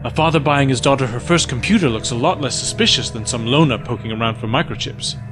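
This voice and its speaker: A man playing a calm, reassuring tech expert who explains things matter-of-factly in an audio drama. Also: mid-range voice, fast talker, music in the background, natural voice.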